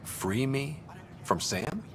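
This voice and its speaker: An actor playing a calm, earnest young male space explorer in a video game, with a slightly gravelly voice. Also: mid-range voice, extremely slow, slight background noise, heavily acted. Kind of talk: video game cutscene dialogue.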